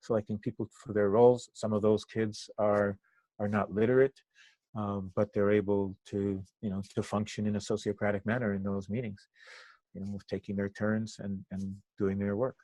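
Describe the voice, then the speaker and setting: An older man from Cuba, a native Spanish speaker, giving reflective interview answers in halting, thoughtful phrases. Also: lowish voice, flat delivery, dark tone, no room sound, rough recording. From Cuba, moved to the US at eight. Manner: halting, thoughtful phrasing with pauses mid-sentence. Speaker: interview guest, activist and trainer.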